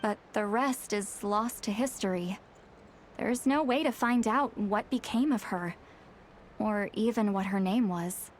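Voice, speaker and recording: An actress voicing a mischievous sorceress who calls herself old Granny, speaking in a dry, teasing, theatrical way. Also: female voice, mid-range voice, measured pace, a little hiss or hum, lightly acted. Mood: wry. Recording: game soundtrack music behind.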